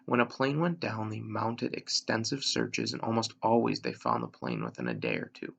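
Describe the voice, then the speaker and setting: Gravelly low-voiced man reading a novel aloud. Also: animated, moderate pace, a bit bright, dull audio. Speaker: elementary school reader, story narrator. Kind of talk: read-aloud of a children's novel.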